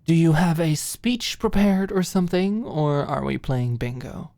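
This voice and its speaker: A woman's voice: low-pitched, a little slow, dark tone, no echo, voice pushed up. A woman voicing a wry, teasing young woman with a sarcastic manner in an audiobook. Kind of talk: audiobook character dialogue.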